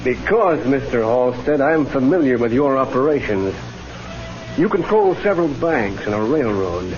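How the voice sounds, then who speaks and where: A shrewd Old West confidence man, played by a male radio actor, speaks with smooth self-assurance and a pointed edge. The vintage radio drama recording is dull and muffled. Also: mid-range voice, lively intonation, normal speed, music in the background, lightly acted. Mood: assured. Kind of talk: 1940s-50s radio Western acting.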